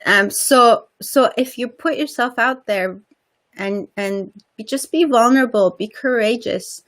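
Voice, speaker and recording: A woman's voice: a little high, a little slow, fairly bright tone. A woman with a slight Persian accent, a guest on a casual interview, talking earnestly and often repeating words while she searches for them.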